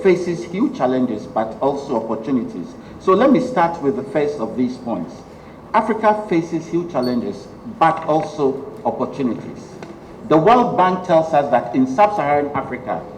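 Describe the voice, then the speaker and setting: A middle-aged man from Ghana with a Ghanaian accent, giving a measured public lecture full of ums. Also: a little high, sing-song. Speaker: guest lecturer. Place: lecture hall. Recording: echoey hall, faint noise.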